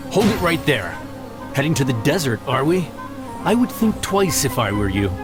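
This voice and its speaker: A man's voice: fairly high pitch, big pitch swings, normal speed, over loud music. A man voicing a smug male hired thug in a game, mocking and sly. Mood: threatening.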